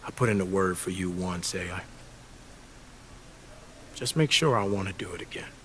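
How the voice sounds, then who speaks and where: An actor playing a shrewd, cryptic American basketball talent scout in a game cutscene, measured, blunt and knowing. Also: male voice, low-pitched, a bit bright, slight background noise. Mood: mentoring. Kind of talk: naturalistic video game cutscene acting.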